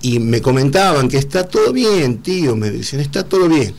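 A middle-aged man with an Argentine Spanish accent, speaking earnestly as an interview guest, often pausing and repeating words. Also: medium pitch, very animated, creaky, a little mellow. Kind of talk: emotional conversational interview.

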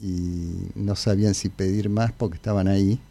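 A middle-aged man with an Argentine Spanish accent, an interview guest telling anecdotes casually in a halting, informal way. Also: low-pitched, fairly even intonation, gravelly, dark tone, no echo. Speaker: interview guest, I Ching consultant.

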